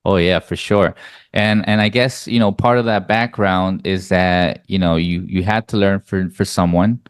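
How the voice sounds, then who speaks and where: A male podcast host with a very deep, smooth voice. He asks friendly, loose questions full of "you know"s. The sound is dry and close. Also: fairly even intonation, normal speed, no echo. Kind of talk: casual interview.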